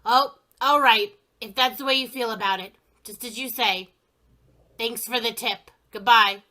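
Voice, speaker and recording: A woman playing a firm police chief, bright-voiced. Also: a little high, measured pace. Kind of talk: community theater radio play.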